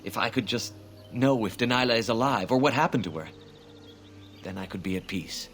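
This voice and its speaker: A solemn elven hunter from a fantasy game. The voice is deep and measured, with formal, earnest wording and a sorrowful weight, over faint background noise. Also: male voice, lively intonation, a bit bright. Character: grave, grieving male elven hunter.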